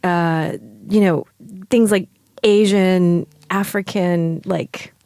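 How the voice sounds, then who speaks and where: A woman's voice: on the low side, fairly even intonation, takes it slowly, darkish tone, dry recording, studio quality. A woman with an American accent, raised in New York, talking casually as an interview guest, thoughtful, with many fillers and light laughs. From China, raised in New York. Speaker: guest writer.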